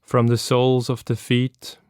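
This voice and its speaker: A man leading a guided meditation as an instructor, speaking calmly and gently with long, unhurried pauses. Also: mid-range voice, smooth, no echo, studio quality.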